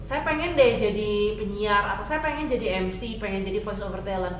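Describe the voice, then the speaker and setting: A woman's voice: mid-range voice, a little fast. Echoey, chatty woman radio host. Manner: chatty, frequent affirming interjections. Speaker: radio talk show host. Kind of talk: casual talk show interview. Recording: echoey room with faint background noise.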